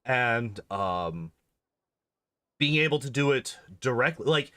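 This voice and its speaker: A man's voice: medium pitch, animated, slow pace, bright, forceful tone, no echo. A man chatting casually as a streamer, rambling and repeating phrases emphatically to make his points. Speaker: game streamer and video host. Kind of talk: casual rambling livestream chat.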